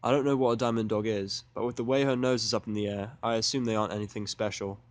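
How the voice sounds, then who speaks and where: A man's voice: on the low side, steady tone, fast talker, dry recording. A man reading scripted first-person fan fiction as the narrator of an audio drama.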